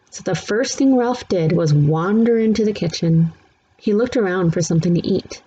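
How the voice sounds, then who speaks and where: Female read-aloud narrator for children's fiction, lowish, mellow voice, slightly dull recording. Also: a little hiss or hum. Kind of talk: scripted children's book narration.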